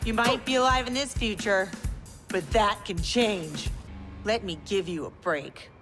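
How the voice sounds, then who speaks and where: A woman's voice: mid-range voice, a little slow, over music, natural voice. An actress voicing a tough, hard-edged military mother with a dry manner in a video game cutscene. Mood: defiant.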